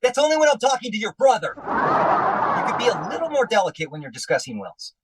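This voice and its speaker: An actor playing a grumpy, nervous husband in a 1940s-style radio comedy, sarcastic and grumbling with quick comebacks. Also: male voice, high voice, very animated, recorded from a distance, heavy background noise. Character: grumpy, nervous middle-aged husband before surgery.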